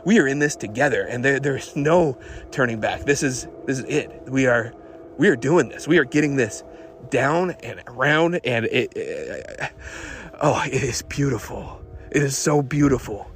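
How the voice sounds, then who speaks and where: An actor playing an obsessed, increasingly unhinged car tinkerer recording a rambling, halting audio diary for an audio drama. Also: male voice, mid-range voice, slight background noise, lightly acted. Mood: obsessed, reverent. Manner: halting, nervous, repeating himself, muttering fixations. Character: obsessed man secretly lowering his car, increasingly unhinged.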